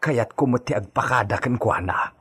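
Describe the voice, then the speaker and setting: An actor with a Filipino accent playing a meek, deferential old countryman with a husky, rough voice in a radio drama. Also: medium pitch, a bit bright, slight background noise, lightly acted. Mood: resigned. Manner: slow, hesitant, submissive.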